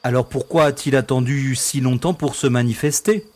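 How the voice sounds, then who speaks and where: A man's voice: medium pitch, fairly even intonation, normal speed, a little mellow, close to an everyday voice. A man playing a matter-of-fact castaway who chides and reasons in an audio drama. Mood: questioning.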